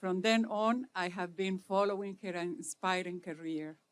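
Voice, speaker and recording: A middle-aged woman from Chile with a Chilean Spanish accent, delivering a measured formal speech at an inauguration; Spanish is her first language. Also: on the low side, steady tone, smooth. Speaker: speaker at an inauguration.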